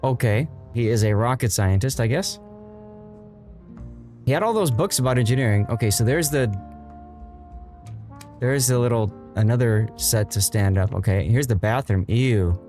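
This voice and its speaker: A man's voice: medium pitch, lively intonation, normal speed, pauses often, darkish tone, faint music behind. A man streaming a video game with casual, easygoing live commentary, thinking aloud as he goes.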